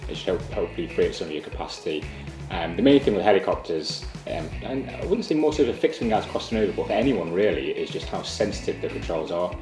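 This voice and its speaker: A man's voice: deep voice, big pitch swings, fast, roomy sound, music in the background, rough recording. A man working as a flying instructor, explaining things conversationally in an interview.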